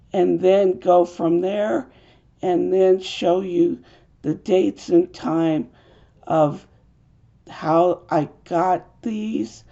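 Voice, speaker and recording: A woman with an American accent, hoarse from a cold, earnestly explaining an urgent religious message in a halting way with fillers. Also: on the low side, mellow. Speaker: video host. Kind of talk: urgent religious video monologue. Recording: dull lo-fi home recording.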